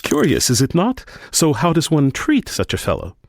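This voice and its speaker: An actor playing a smug, eccentric asylum doctor in a gothic radio drama, genteel and theatrical. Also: male voice, on the high side, huge pitch swings, normal speed, exaggerated voice. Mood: gleeful fascination. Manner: theatrical, genteel, gleefully pompous. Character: eccentric, smug asylum doctor showing off his mad patients.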